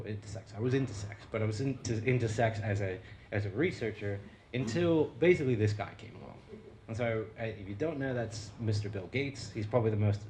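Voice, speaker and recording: A low-pitched American man addresses an audience from a lecture stage. He talks through his research in a loose, conversational way, with plenty of ums and restarts. A faint hum of ambient noise sits under the voice. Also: a little mellow. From United States. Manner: conversational, with frequent fillers and restarts. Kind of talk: public talk with slides.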